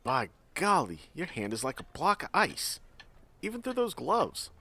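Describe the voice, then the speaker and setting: Animated, folksy actor as a frontier mine boss. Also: male voice, on the high side, a little slow, exaggerated voice. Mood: intrigued. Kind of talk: audio drama acting.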